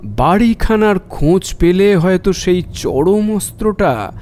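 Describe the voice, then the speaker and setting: A man's voice: high-pitched, lively intonation, slow, darkish tone. A man acting a shrewd, courteous private detective in an audio drama, probing and decisive in his talk. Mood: measured reasoning.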